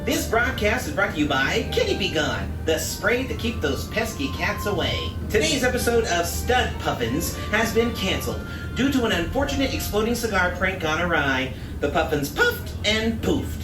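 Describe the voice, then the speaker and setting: A woman's voice: mid-range voice, big pitch swings, moderate pace, hardly any pauses, distant mic, natural voice. A woman voicing a chatty bird puppet who hosts a spoof radio station. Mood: announcing. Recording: roomy, off-mic, music underneath.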